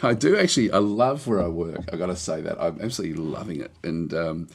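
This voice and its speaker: A middle-aged man streaming a game, chatting casually with a slightly hoarse voice that is on the mend, rambling with many restarts. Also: low voice, animated. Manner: rambling, halting, lots of restarts and fillers. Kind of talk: casual live-stream chat.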